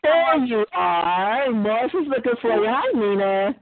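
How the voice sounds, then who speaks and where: A woman hosting a live radio call-in show, brisk and affirming, taking callers. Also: on the high side, lively intonation, crowd noise. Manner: brisk, affirming, fills with short "mm-hmm"s. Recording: tinny phone line.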